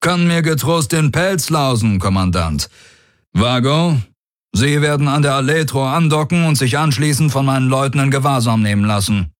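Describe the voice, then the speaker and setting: A bold alien warrior lord played by a male narrator, pitched well above his plain voice in a dry studio sound. He is commanding and self-assured, with cool mockery, in science-fiction audiobook style. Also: normal speed, no echo, close to an everyday voice. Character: proud, bold alien warrior warlord, coolly mocking. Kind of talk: audiobook character dialogue.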